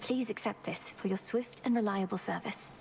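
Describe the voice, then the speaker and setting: A woman's voice: mid-range voice, normal speed, creaky, very noisy, lightly acted. A woman playing a determined, earnest young witch princess in a video game, speaking formally. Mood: resolute. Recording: music behind the voice.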